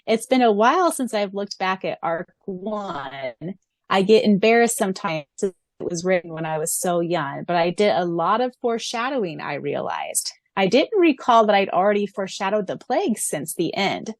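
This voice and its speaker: A woman's voice: mid-range voice, sing-song, normal speed, dry recording. A woman co-hosting a fan podcast who also narrates its audiobook, gushing and giggly as she chats and reads. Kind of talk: casual fan podcast chat.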